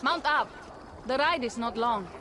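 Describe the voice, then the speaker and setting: A proud young Viking warrior woman from a video game, with a fairly high voice and an earnest, blunt delivery. She sounds restless, with clear outdoor sound effects behind her. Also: animated, unhurried, bright, forceful tone, slight background noise. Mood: steady. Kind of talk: video game cutscene acting.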